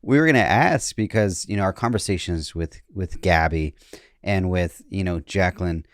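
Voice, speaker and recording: Man, lowish pitch, dry sound, conversational podcast interviewer. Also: normal speed, no room sound. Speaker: podcast co-host.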